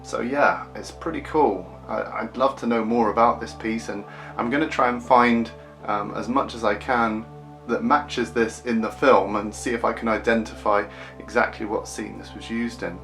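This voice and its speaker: A man with a fairly low voice and a crisp, bright edge over a crackly, fry-laden texture. He talks casually as a collector showing an item up close, thinking aloud as he goes, with faint guitar music behind him. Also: steady tone, moderate pace. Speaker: film prop collector presenting an unboxing. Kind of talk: casual unboxing and close-up commentary.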